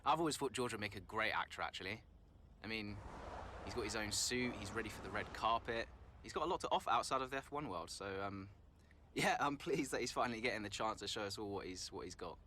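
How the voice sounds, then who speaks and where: A man's voice: fairly low pitch, quick pace, bright, forceful tone, a little hiss or hum. An actor playing a guarded, dryly wry male racing driver who gives hesitant, diplomatic interview answers in a game. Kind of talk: post-session interview answers in a game cutscene.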